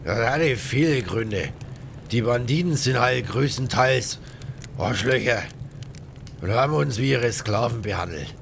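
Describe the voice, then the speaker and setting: A man voicing a rough, chatty pirate in a game audio drama, rambling in coarse colloquial speech with grudges. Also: animated, a little slow, fairly bright tone. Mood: gruff, aggrieved. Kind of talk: acted game dialogue, colloquial storytelling. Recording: faint rumbling background noise.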